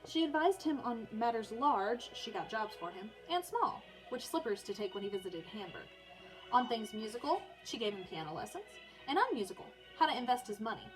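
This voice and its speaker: Woman, high-pitched voice, teacher's read-aloud, storybook narration, informal asides, music in background. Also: very animated, a little fast, rough recording. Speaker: teacher reading aloud for online learners. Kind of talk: read-aloud of a children's biography with casual asides.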